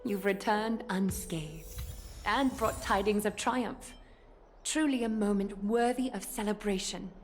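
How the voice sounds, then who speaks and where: A woman playing a solemn, regal leader in a video game, speaking in a stately, formal and deliberate way. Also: mid-range voice, faint music behind, natural voice. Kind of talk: English video game voice acting, formal and measured.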